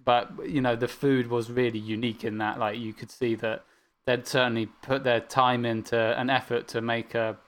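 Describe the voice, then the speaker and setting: A man's voice: mid-range voice, little pitch movement, moderate pace. A man in casual podcast conversation, talking in a rambling way with many fillers and restarts, and blunt about what he dislikes. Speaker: podcast co-host discussing anime. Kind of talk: casual chat.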